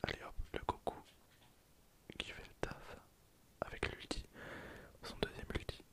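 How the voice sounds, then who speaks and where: A person whispering casual, slangy ASMR commentary over a video game, in breathy, hesitant phrases that trail off. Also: unhurried, fairly bright tone. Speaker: host of an ASMR gameplay channel.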